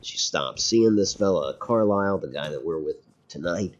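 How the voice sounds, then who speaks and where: A man's voice: low voice, lively intonation, moderate pace, highs cut off. A man streaming a game, chatting casually, rambling and laughing often. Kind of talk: casual gameplay chat.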